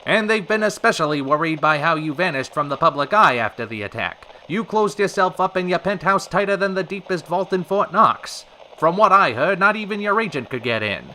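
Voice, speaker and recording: An actor playing a showy radio host of a retro variety hour, with polished, theatrical announcer patter in an old-time radio style. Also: male voice, high voice, expressive, brisk, sharp tone, a little hiss or hum. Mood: concerned, probing. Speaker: radio show host and interviewer.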